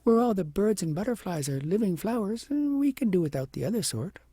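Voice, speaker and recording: Man putting on a plaintive, higher-pitched young princess. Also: big pitch swings, fairly quick, warm tone, no echo, studio quality, heavily acted. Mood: wondering. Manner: piteous, pleading, wondering. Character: young storybook princess, plaintive and earnest girl.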